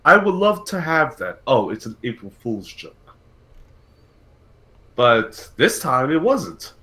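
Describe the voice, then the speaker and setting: Slow-talking man hosting a gaming show. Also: medium pitch, very animated, bright, forceful tone. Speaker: podcast host. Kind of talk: casual gaming talk show discussion.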